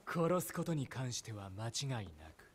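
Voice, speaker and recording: A man's voice: normal speed, slight background noise, natural voice. A man playing a cold blunt captain. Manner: low, flat, cutting delivery. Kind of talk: anime game cutscene acting.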